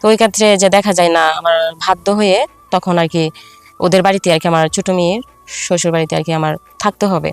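A middle-aged woman with a Bangladeshi Bengali accent tells a first-person life story, halting and repeating herself with filler phrases. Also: on the low side, clear, resonant tone, dry recording. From Faridpur, Bangladesh.

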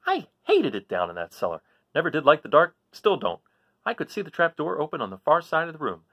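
A man's voice: on the high side, vocal fry, slightly rough, muffled recording, full character voice. A man voicing a folksy little dog who narrates a scary adventure in rural American dialect, as in a children's read-aloud.

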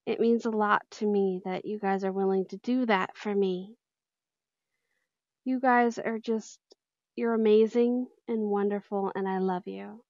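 A woman's voice: mid-range voice, fairly even intonation, a little slow, a little mellow, no echo, dull audio. A woman chatting casually and in a friendly way to her viewers as a channel host. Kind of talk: casual outro chat to viewers.

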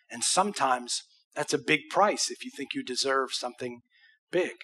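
A man's voice: a little high, bright, forceful tone, breathy edge. An American man in his sixties preaching a conversational, emphatic sermon as a pastor, with rhetorical questions. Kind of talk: conversational sermon with acted examples. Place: church auditorium stage.

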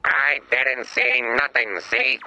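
An actor voicing a skittish little game robot with old-time gangster bluster, speaking in halting, dramatic third person. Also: male voice, on the high side, sing-song, vocal fry, sharp tone, heavily acted, low-quality recording. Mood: defensive bluster.

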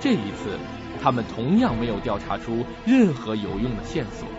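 A male narrator tells a suspense story dramatically. His mid-range voice moves with lively intonation. The audio is a little muffled, with music underneath. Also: mid-range voice, moderate pace.

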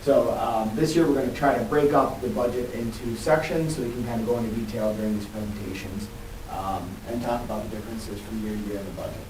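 A man giving a formal, procedural presentation as a budget official at a meeting, in a halting way. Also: fairly low pitch. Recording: echoey, distant mic, faint noise.

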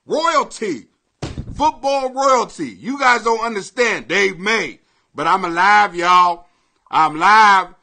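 High-pitched, forceful American comedian, a man. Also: takes it slowly, a little hiss or hum. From Greenwich, Connecticut, United States. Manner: brash, profane, excitable, often shouting. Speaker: comedian and podcast host. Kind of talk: ranting comedy podcast monologue.

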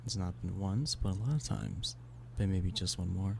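A man's voice: deep voice, moderate pace, gravelly. A man playing an affectionate, playful boyfriend in an ASMR roleplay, teasing and pleading in an intimate one-sided conversation. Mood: teasing, coaxing. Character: playful, affectionate human boyfriend coaxing a stubborn cat-person. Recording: steady background noise of rain. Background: rain.

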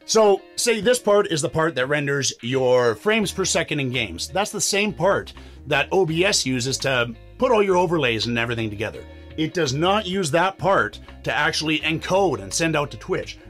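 Man, 30s, hoarse from a cold, a bit higher voice, chatty tech tutorial, home studio, faint music behind. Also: a bit bright. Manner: chatty, explains step by step with fillers. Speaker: streaming tech YouTuber. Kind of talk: casual tech tutorial to camera. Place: small home studio indoors.

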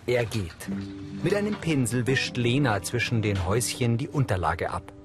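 A man's voice: medium pitch, lively intonation, moderate pace, music in the background. A man reading scripted scene narration for the audio description of a TV drama.

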